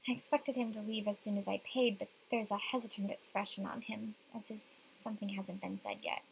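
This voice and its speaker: A woman's voice: mid-range voice, steady tone, a little fast, gravelly, slight background noise, rough recording. A young woman reading visual novel narration aloud. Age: young adult. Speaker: game streamer reading aloud.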